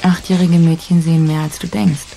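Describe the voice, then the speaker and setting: A wry, self-possessed lover in an intimate radio drama scene. Her voice is soft-edged and dark, with a gravelly creak, and she teases without hurry. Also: deep voice, flat delivery, a little fast. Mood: teasing, intimate. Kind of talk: German radio crime drama acting.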